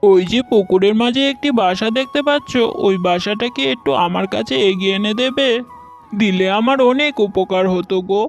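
A sly, greedy cartoon fox played by a voice actor, speaking at an unremarkable middle pitch. The manner is wheedling and falsely chummy, with a schemer's edge. Music plays behind the voice. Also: normal speed, lightly acted. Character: sly, greedy egg-thieving fox uncle.

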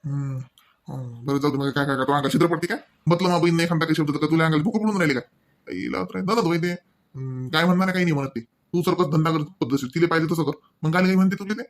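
A man acts out an irritable but resigned village husband from a comedy cartoon. He talks fast in a slightly high voice with a Varhadi Marathi accent, grumbling as he tries to keep the peace. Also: close to an everyday voice. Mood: irritated. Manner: grumbling, placating.

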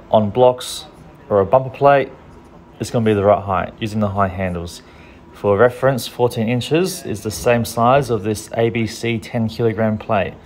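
A young man from a strongman gym, giving a relaxed, practical training tutorial in plain everyday words. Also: fairly low pitch, a little hiss or hum. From Australia. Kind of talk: casual training tutorial voice-over.

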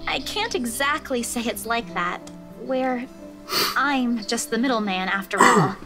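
Woman playing breezy young woman, high voice, lively intonation, teasing, visual novel dialogue, music behind. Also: unhurried. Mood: reserved.

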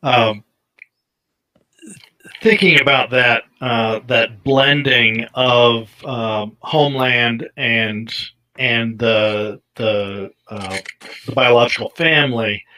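A middle-aged man hosting an interview over a video call on a headset, pausing often with ums and uhs. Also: medium pitch, sharp tone, poor audio. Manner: hesitant, many ums and uhs. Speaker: interviewer, host. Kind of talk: interview questions.

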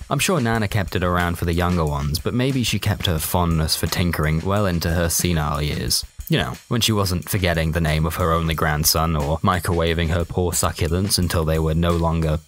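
A man narrating a first-person comic short story in a dry, colloquial, sardonic way. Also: sits low. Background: music.

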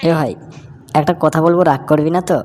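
A performer doing a randy old cartoon grandpa, blustering, coarse, coy about love, mid pitch. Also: fairly even intonation, quick pace, darkish tone, a little hiss or hum, natural voice. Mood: eager lecherous. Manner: blustering, coarse, bashful when talking romance. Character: cheeky lecherous old grandpa seeking a young bride. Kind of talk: comic cartoon voice acting.

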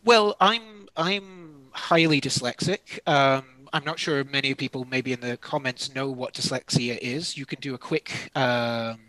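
A man's voice: mid-range voice, measured pace, few pauses, bright, forceful tone, poor audio. A man presenting a live webinar in casual, self-deprecating chat, his mouth dry from talking. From Scotland. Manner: self-deprecating, chatty, digressive. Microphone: video call.

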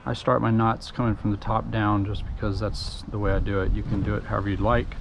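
A middle-aged man with a Texan accent, giving a relaxed, folksy step-by-step how-to while working at a pickup truck tailgate outdoors, with frequent ums and uhs. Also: lowish voice, a little fast, creaky. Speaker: DIY tutorial host. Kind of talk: casual step-by-step how-to narration. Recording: noisy outdoor camera audio.

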